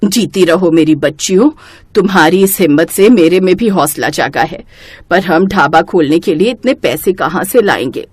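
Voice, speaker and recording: Elderly grandmother acted by a woman, lowered voice, tired yet firm, loving, earnest, storybook character, dry close sound. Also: animated, moderate pace, darkish tone, slightly rough, no room sound. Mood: touched, worried.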